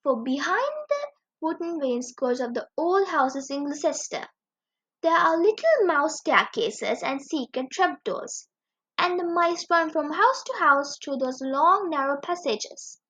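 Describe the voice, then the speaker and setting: A woman reading a children's story aloud as a storyteller, with expressive, animated delivery. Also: sits high, unhurried. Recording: dull lo-fi recording.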